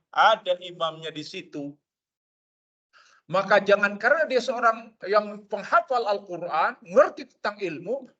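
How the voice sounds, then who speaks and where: Man, Indonesian-accented preacher, lively mosque lecture, dull lo-fi sound. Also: high-pitched. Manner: expressive, acts out quoted people in asides.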